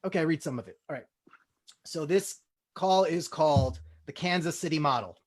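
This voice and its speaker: A man's voice: fairly high pitch, moderate pace. A man hosting a comedy table read, switching between casual chat and scripted stage-direction narration.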